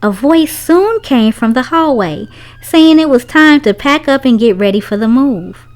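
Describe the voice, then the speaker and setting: A woman's voice: high-pitched, lively intonation, measured pace, a little mellow. A woman reading a children's story aloud, narrating expressively for young listeners.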